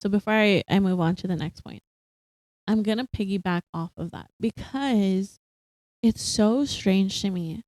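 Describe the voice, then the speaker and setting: A young woman co-hosting a casual chat podcast, animated and quick to laugh, full of fillers. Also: medium pitch, no echo. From Philippines, raised partly in Singapore. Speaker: podcast co-host.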